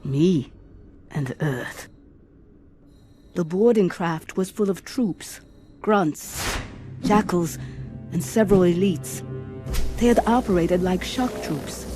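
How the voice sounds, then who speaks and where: A woman's voice: lowish voice, slow pace, long pauses, dark tone, faint music behind. A woman playing a composed female shipboard artificial intelligence in a sci-fi drama, dry, precise and clinical. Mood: grave. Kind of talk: science fiction audio drama acting.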